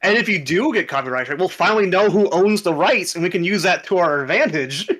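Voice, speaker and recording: Bright, higher-voiced man chatting encouragingly. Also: expressive, fast. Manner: encouraging, joking, slangy. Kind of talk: casual fan chat.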